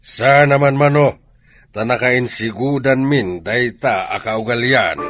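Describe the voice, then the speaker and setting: An actor with an Ilocano accent playing a defensive landowning husband, firm on tradition, in a radio drama. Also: male voice, mid-range voice, slow, a bit bright.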